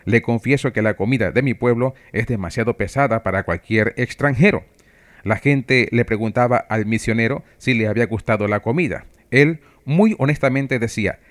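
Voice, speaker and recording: A man from Venezuela with a Venezuelan accent, preaching for radio in a measured, didactic way. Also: medium pitch. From Trujillo, Venezuela. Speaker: preacher and radio program host. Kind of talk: sermon talk for radio.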